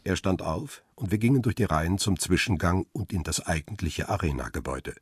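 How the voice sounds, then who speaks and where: A man playing a paparazzo who tells his own crime story in a radio drama, husky and measured in a literary way. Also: low voice, lively intonation, no room sound, studio quality. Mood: grave, absorbed. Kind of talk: radio drama first-person narration and dialogue.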